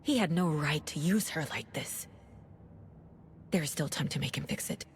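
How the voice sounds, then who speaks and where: A woman's voice: low voice, normal speed, slight background noise, lightly acted. An actress playing a determined FBI agent and fiercely protective mother in a video game, speaking tersely and intensely. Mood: resolute. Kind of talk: dramatic game acting.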